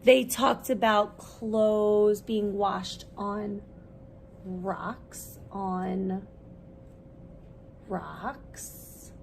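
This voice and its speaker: Slow-paced woman reading aloud to preschoolers. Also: medium pitch, vocal fry, a little hiss or hum. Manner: expressive, simple, deliberate phrasing for small children. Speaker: preschool teacher. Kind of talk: children's read-aloud with questions to young listeners.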